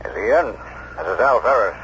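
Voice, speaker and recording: A man's voice: on the low side, animated, brisk, a bit bright. An actor playing a bitter, desperate businessman full of threats, in 1970s radio drama style. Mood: menacing. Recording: muffled 1970s radio recording, faint noise.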